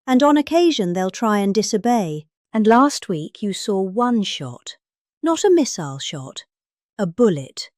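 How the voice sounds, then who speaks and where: British woman, mock-serious and highly animated. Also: no room sound, clean studio sound. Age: middle-aged. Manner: mock-serious, theatrically emphatic. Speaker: presenter reading out a politician's speech. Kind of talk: dramatic satirical reading of a speech.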